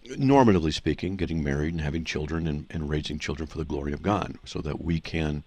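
A man's voice: rumbling low, quick pace, darkish tone. A man hosting a call-in radio show, explaining scripture to callers in an assertive, teaching manner. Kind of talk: call-in radio Bible answers.

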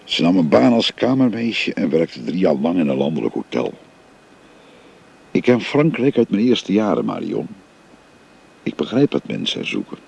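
A gravelly, very deep-voiced old father. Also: sing-song, moderate pace, long pauses, darkish tone, full character voice. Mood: gentle, confiding. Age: older. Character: older father telling his family history. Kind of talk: radio drama acting. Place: recorded on location.

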